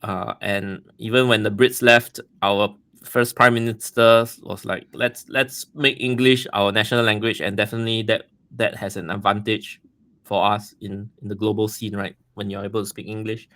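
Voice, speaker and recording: A man from Singapore with a Singaporean accent talks as a guest on a casual podcast. His voice is low, and he is friendly, laughs easily and repeats words while he searches for the next thought. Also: level tone, moderate pace, a bit bright.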